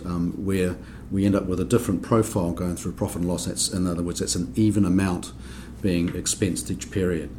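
A man with a New Zealand accent and a deep, low voice talks through technical points at a steady, explanatory pace on a podcast. He hesitates often with ums and restarts. Faint hiss sits under the voice. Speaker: accounting standards board member. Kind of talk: podcast discussion.

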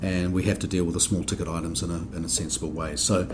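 A man from New Zealand with a New Zealand accent, explaining accounting rules on a podcast in a measured way, with frequent ums and restarts. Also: low voice, flat delivery, noisy background. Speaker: accounting standards board member. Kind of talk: podcast discussion.

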